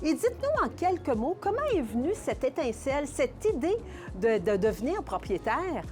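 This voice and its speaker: A woman's voice: high-pitched, very animated, normal speed, darkish tone, faint music behind. A woman with a Quebec French accent hosting a local TV magazine, speaking in a polished, enthusiastic presenter style. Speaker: TV host and interviewer.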